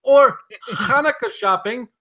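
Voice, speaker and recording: A man playing a chipper 1940s radio announcer and pitchman, with an emphatic, folksy sales delivery. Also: unhurried, pushed and bright, full character voice. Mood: hearty, selling.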